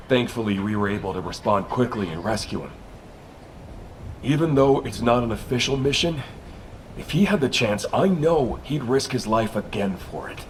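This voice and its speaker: A man's voice: mid-range voice, natural voice. A man playing a sincere, idealistic soldier in a video game, speaking earnestly. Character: idealistic, sincere male soldier guarding farmland. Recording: faint ambient noise behind. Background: faint ambience.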